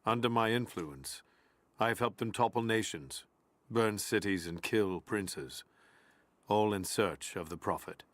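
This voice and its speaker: An actor playing a solemn, zealous medieval envoy of a religious order, narrating a journal in a grave, measured, formal way for a game. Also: male voice, on the low side, a bit bright, no room sound.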